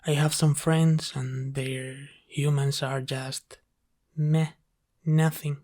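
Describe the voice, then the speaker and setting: A man playing a gentle, caring sleep paralysis demon who soothes his human in a soft-spoken, reassuring ASMR roleplay. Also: on the high side, flat delivery, slow. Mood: tender, reassuring.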